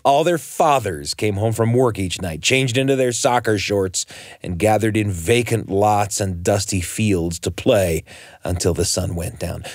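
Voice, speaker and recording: An American man narrates a personal tale as a radio storyteller. His voice sits low and moves through lively, varied intonation. The sound is close and dry. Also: dry recording.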